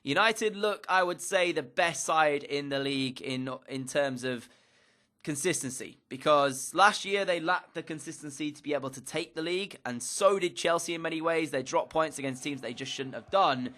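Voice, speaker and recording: A young man with a bright, forceful voice pitched a bit high, talking through football matches like a commentator. His nose is a little blocked from a cold, and he scatters fillers through his upbeat delivery into a desk mic. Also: moderate pace. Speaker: gaming YouTuber. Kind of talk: recap commentary of a football career mode.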